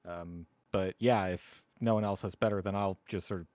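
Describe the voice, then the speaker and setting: Male tabletop player, lowish voice, casual game talk, dry close sound. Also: steady tone, moderate pace, darkish tone, no room sound.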